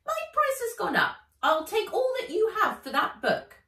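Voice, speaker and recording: A tiny, greedy storybook elf woman with a piercingly high, shrill voice. She is spiteful and cackling, and swoops wildly in pitch, heard in a roomy space. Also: very animated, moderate pace, pushed and bright, recorded from a distance, exaggerated voice. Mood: haggling, snappish.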